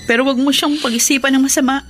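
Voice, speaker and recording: A woman's voice: sits high, steady tone, fast talker, faint music behind, lightly acted. A woman acting in a Filipino radio drama as a wounded but devoted young mother. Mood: hurt, reproachful.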